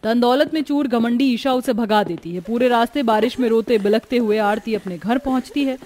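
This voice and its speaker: A woman's voice: a little high, brisk, darkish tone. A woman narrating a scripted story in a dramatic storytelling style.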